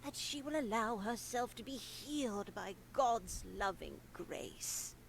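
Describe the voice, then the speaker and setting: A woman's voice: fairly high pitch, lively intonation, slow, vocal fry, a little hiss or hum, full character voice, low-quality recording. A woman playing a stern, pious nun teacher in a visual novel, formal and sanctimonious in manner. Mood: composed, strict.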